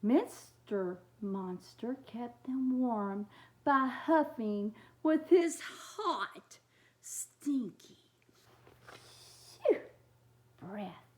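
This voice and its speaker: A woman reading a picture book aloud to children in an expressive way, often chatting directly to her young listeners. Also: a little high, extremely slow, pauses often. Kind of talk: animated read-aloud with chatty asides.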